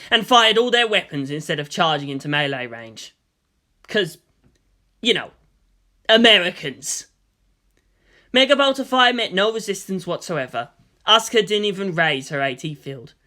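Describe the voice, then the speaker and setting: Guy narrating an action story, high pitched and sharp, really emphatic. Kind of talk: dramatic audiobook narration of action fiction.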